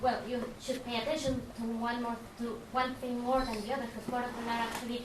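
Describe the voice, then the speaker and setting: A woman with a fairly high voice asks a question from the audience at a panel. She hesitates and rambles, restarting her sentences often. She sounds distant from the mic in an echoing hall with a faint hum behind her. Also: level tone, fast. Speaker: audience member asking a question. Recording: distant, roomy hall recording.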